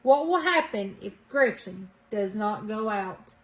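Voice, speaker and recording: A woman's voice: medium pitch, lively intonation, slow pace. A woman voicing a dramatic small-town newspaper report in a children's storybook, with grave, emphatic announcing. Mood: alarmed.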